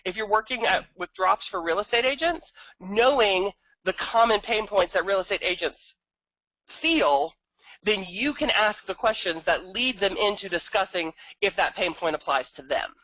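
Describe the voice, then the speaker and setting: A woman hosting a training session, explaining points in a deliberate, emphatic instructional way. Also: mid-range voice, animated, a bit bright, dry recording, low-quality recording. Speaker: training host.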